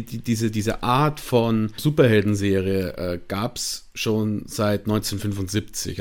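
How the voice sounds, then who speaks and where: A man's voice: lowish voice, a little mellow. Rambling enthusiastic German man. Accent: regional German dialect. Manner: enthusiastic, rambling with fillers and restarts. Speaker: guest explaining a topic. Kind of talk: casual podcast chat.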